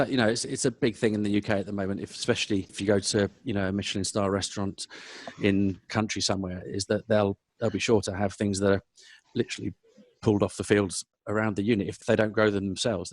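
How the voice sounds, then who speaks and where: Fast-talking London man, low voice. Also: no room sound. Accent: London English. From South London, England. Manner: hesitant, self-correcting, rambling. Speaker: podcast guest, food business professional. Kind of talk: conversational interview answers.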